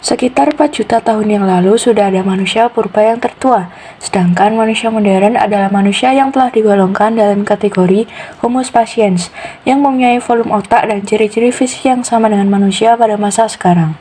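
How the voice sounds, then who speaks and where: A woman's voice: mid-range voice, brisk, warm tone, slight background noise. A young woman with an Indonesian accent, presenting scripted educational material as a student podcast host.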